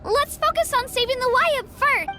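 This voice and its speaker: A woman voicing a small, earnest and determined young girl hero in a video game, with an excitable manner. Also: extremely high pitch, moderate pace, a little hiss or hum. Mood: concerned. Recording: game music behind the voice.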